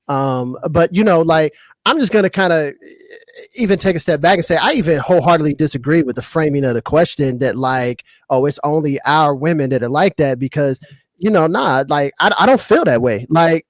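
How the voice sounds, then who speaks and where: An American man with a slightly high voice, recorded close and dry. He talks casually and slangily as a podcast panelist, often tagging his points with little questions. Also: no room sound. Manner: slangy, punctuated with checking tag questions.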